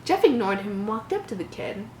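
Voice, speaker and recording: A woman in her early twenties reading a story comedically, animated, with casual mocking commentary. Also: mid-range voice, fairly quick. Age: early 20s. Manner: giggly, mocking asides, animated. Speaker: channel host. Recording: roomy echo, faint background noise.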